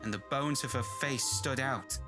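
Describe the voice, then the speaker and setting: Man playing an earnest doctor, mid-pitched, over music. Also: moderate pace, close to an everyday voice. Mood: somber. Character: earnest, troubled male doctor reading his journal. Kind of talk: narrated radio horror drama. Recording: loud music behind the voice.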